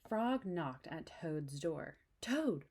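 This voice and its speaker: A woman reading a picture book aloud expressively, pausing to explain things and check in with young listeners. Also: lowish voice. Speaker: read-aloud storyteller.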